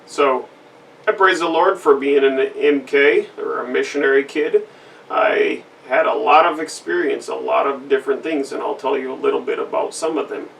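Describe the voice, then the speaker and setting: A man raised in Brazil, giving a recorded testimony in an earnest, halting way with many fillers. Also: medium pitch, expressive, gravelly, fairly bright tone, far from the mic, slight background noise, rough recording. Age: adult with a school-age daughter. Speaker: missionary kid giving a testimony. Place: indoor room with a flag behind.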